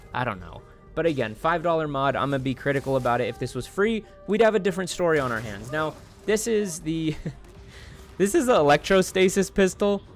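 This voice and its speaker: Male game reviewer, slightly higher register, colloquial and jokey commentary over music. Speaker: gaming video host reviewing a mod.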